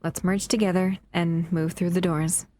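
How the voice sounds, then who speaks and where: A woman playing a gentle, protective shadow monster in an intimate audio roleplay, speaking softly and affectionately to the listener. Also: fairly low pitch, little pitch movement, moderate pace, noisy background, natural voice. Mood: hushed, confident.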